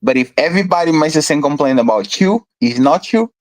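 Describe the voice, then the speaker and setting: A man's voice: fairly high pitch, expressive, a little fast, low-quality recording. A man hosting a podcast debate, pressing his guest with repeated questions and talking over him. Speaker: podcast host. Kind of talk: heated debate.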